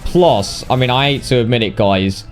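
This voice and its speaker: Sweary British lad narrating game highlights. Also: male voice, normal speed, soft background music. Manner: sweary, blokey, mock-indignant. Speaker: gaming video narrator. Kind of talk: casual game highlights commentary.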